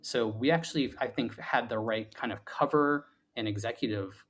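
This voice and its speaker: A man in a reflective interview conversation, answering as a founder and guest. He explains step by step, often doubling back on words and padding phrases as he thinks aloud. His pitch sits in a middle male range. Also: a bit bright. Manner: explanatory, halting with repeated words and hedging "like".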